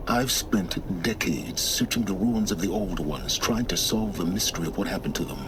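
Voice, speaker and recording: An actor playing an aloof, impatient middle-aged scholar of ruins in a video game, measured and condescending. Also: male voice, low voice, noisy background, close to an everyday voice. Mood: stern, lecturing. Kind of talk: dramatic video game acting.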